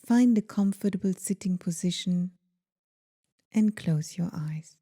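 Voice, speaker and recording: A woman guiding a meditation in a gentle, soothing, deliberate manner, leaving pauses between phrases. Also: lowish voice. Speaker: meditation guide. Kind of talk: guided meditation.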